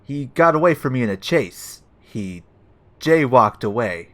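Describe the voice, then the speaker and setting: Man playing an earnest, animated police detective. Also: medium pitch, a little slow. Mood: earnest, defensive. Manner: earnest, literal-minded. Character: earnest, dim, upright male police detective. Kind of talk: comedic audio drama acting.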